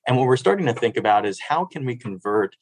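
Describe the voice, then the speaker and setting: A man's voice: medium pitch, expressive, fast, no echo. A man in his thirties from Miami, a city sustainability director answering interview questions in an earnest, fluent way with small restarts. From Miami, Florida.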